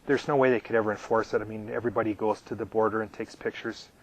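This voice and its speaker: A man hosting a live question-and-answer stream, halting and full of ums, losing his voice and clearing his throat often, talking from his RV out in the desert. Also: on the low side, fairly even intonation, low-quality recording. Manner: halting, with many ums and repeated words. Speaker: channel host answering viewer questions. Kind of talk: live casual Q&A.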